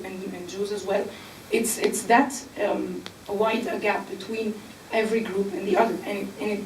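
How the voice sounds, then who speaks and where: A woman from Egypt speaking on a panel, explaining earnestly with filler pauses. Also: medium pitch, darkish tone, recorded from a distance, a little hiss or hum. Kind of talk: panel discussion. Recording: echoey room, mic from afar.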